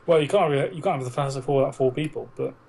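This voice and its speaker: A man's voice: medium pitch, racing, vocal fry, a little mellow, breathy edge. A man co-hosting a podcast with casual, joking, argumentative banter about films and plenty of swearing. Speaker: podcast co-host.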